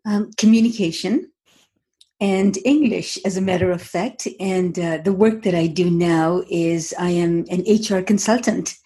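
A middle-aged woman from Tanzania speaks as a guest on an interview show. Her voice sits a little low, dark and husky. She talks in a measured, reflective way, pausing often with soft ums and uhs. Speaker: guest, author. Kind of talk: interview conversation.

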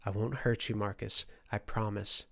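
A gentle young hero of an audiobook, voiced by a male narrator. He speaks softly and calmly with a creaky edge, puzzled and conciliatory. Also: on the low side, normal speed. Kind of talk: audiobook character acting.

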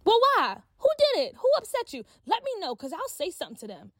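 A woman's voice: sits high, very animated, a little mellow, no echo, studio quality, pitched above the performer's own voice. A woman voicing a bubbly, self-absorbed schoolgirl who talks in a chatty, breezy way. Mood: earnest. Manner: chatty, breezy, quick to brush off.

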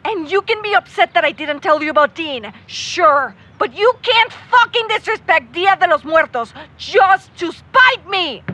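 A woman's voice: very high voice, animated, measured pace, few pauses, sharp tone, exaggerated voice. An actress playing a devout, defensive young woman in an audio drama, earnest, frustrated and sweary. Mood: angry, defensive. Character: devout, defensive young woman, grieving her missing friend. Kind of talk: naturalistic audio drama acting.

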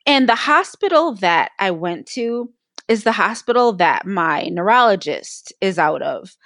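A woman's voice: mid-range voice, big pitch swings, a little slow, fairly bright tone, no echo, clean studio sound. A woman co-hosting a casual podcast, telling her story while she is losing her voice. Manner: candid, storytelling about her illness. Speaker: podcast co-host.

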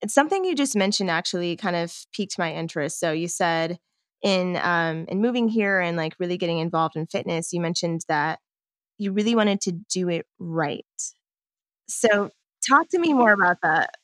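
A woman's voice: lowish voice, animated, moderate pace, a bit bright, no echo. Woman hosting a podcast, very dry sound. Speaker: podcast host. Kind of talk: conversational podcast interview.

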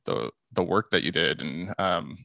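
A man, an assistant winemaker, talking casually and haltingly in a group podcast chat, often repeating his words. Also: low-pitched, gravelly, bright, forceful tone, no room sound, studio quality.

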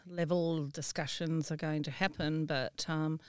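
A woman telling work stories casually as an interview guest, in an easygoing, halting way. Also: deep voice, steady tone, a little mellow, smooth, dry recording, studio quality. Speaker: interview guest, event venue professional. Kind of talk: casual conversational anecdote telling.

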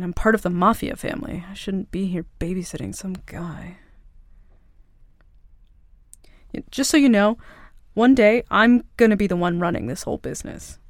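Woman playing a cocky, creaky-voiced young mafia princess. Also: fairly low pitch, no echo, clean studio sound. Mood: annoyed, boastful.

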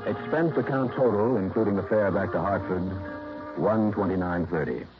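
A man's voice: on the low side, normal speed, lightly acted. An American actor playing a confident, needling insurance investigator in an old-time radio detective drama, clipped and assertive in his delivery. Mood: matter-of-fact. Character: hard-boiled, confident male insurance investigator, brisk and needling. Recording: muffled vintage radio recording, faint music.

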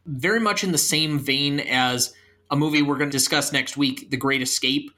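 A man's voice: a little high, sharp tone. A young American man talking about films as a podcast host. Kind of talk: film podcast discussion and sign-off.

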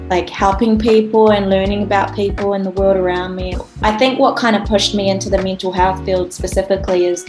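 A woman, speaking at a middling pitch as a podcast guest. She talks casually and a bit hesitantly, and clear instrumental music plays behind her. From New Zealand. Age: 20s. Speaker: guest, psychology student and artist. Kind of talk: interview answers.

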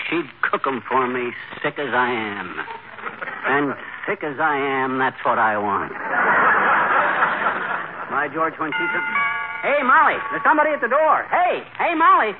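Guy playing a loudmouth bumbling hubby playing sick, brash wisecracks, hissy tinny old radio sitcom. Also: mid-range voice, animated, moderate pace, lightly acted. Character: boastful bumbling middle-aged husband playing sick. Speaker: lead of a radio comedy. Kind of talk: 1940s radio sitcom acting.

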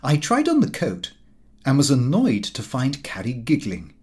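A man's voice: medium pitch, wildly swooping pitch, unhurried. A man reading a comic diary novel as an audiobook, with fussy, indignant storytelling. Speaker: audiobook reader.

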